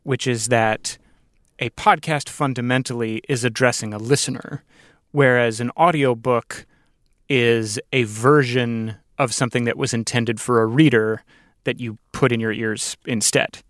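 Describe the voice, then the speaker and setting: Podcast host, man, mid-pitched voice in a dry room; reflective, feels his way through each idea. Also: fairly even intonation, fairly bright tone, no room sound. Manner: thoughtful, halting phrasing that builds ideas aloud. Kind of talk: reflective interview conversation.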